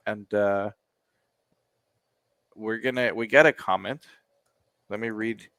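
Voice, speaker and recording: A man's voice: lowish voice, fairly even intonation, slow pace, fairly bright tone, no room sound. A man, co-hosting a casual music reaction show, joking and sarcastic as he rambles. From United States.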